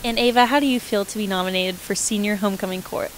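High school girl with a mic asking quick questions in the hallway, bit of hiss. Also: steady tone, low-quality recording. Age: teens. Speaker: student interviewer. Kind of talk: short school interviews. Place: school hallways and gym indoors. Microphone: handheld mic.

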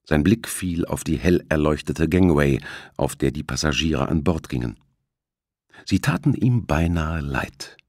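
A man's voice: extremely low pitch, animated, measured pace, dry recording, studio quality. A man narrating a suspense novel as an audiobook, reading from a script. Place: close, dry studio recording.

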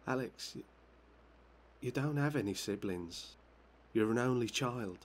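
An actor playing a measured, slightly hesitant male police chief questioning someone in a video game. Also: medium pitch, animated, lightly acted. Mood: measured, official. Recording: noisy with background ambience.

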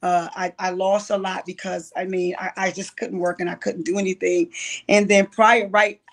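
Woman in her late 50s, gravelly voice, telling her story straight and open. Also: medium pitch, level tone, normal speed. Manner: candid, storytelling, faith-filled. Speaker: guest, writer and producer. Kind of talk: casual interview, testimony.

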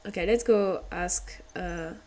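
A woman's voice: lowish voice, takes it slowly, darkish tone. A woman with a French accent, a streamer hesitantly thinking aloud while playing a game. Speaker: VTuber streamer. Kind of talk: casual game commentary.

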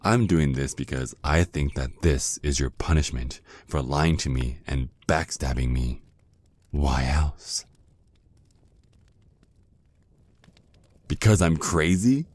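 ASMR roleplay casting: jealous male vampire ex, acted by a man, extremely low dark voice, slow seductive taunts. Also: heavily acted. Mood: possessive, vindictive. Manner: slow, teasing, drawn-out menacing murmur.

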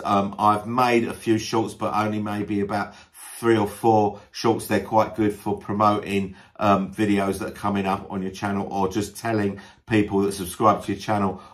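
A man with a fairly low voice speaks casually, as if answering questions for his own video channel. He is self-deprecating and jokey, and he fills his thinking time with frequent ums and uhs. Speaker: YouTube video creator. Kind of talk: casual talk to camera, answering a list of questions.

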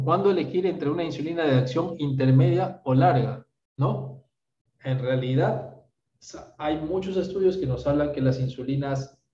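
Peruvian guy in his 30s teaching doctors online, mellow voice, sounds far off from the mic. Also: a little high. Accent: Peruvian Spanish. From Peru. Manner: explanatory, often adds "¿está bien?". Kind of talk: online medical lecture answering questions. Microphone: video call. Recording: roomy, distant, dull video call audio.

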